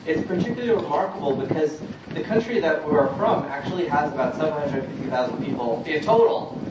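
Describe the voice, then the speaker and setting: A man from Guyana speaking informally as a panelist at a community forum, addressing the audience directly in a conversational way. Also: a little high. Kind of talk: informal public panel speech. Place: large echoey indoor event room. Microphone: distant microphone. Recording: very echoey, noisy, muffled, distant room recording.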